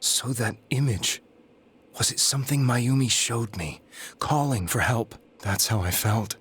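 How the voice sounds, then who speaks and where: An actor playing a despondent, guilt-ridden young man in a video game, telling his story in a grave, halting way. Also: medium pitch, fairly bright tone, slightly rough. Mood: grave, subdued. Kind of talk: dramatic video game voice acting, confessional monologue. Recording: background music under the voice.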